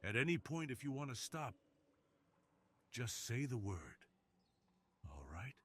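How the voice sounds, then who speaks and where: A man voicing an alluring, dominant crime boss in an intimate ASMR roleplay, speaking in a slow, teasing, hushed way. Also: medium pitch, big pitch swings, a little hiss or hum. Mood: dominant, admiring. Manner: slow, teasing, hushed delivery that drops into whispers. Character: alluring, dominant, seductive male crime boss with a tender side.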